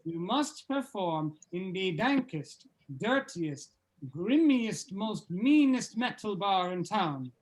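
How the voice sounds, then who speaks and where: A man's voice: high-pitched, very animated, slow pace, heavily acted, poor audio. A man playing a pompous band leader with a mock-solemn, ceremonial manner in a comedy script read-through.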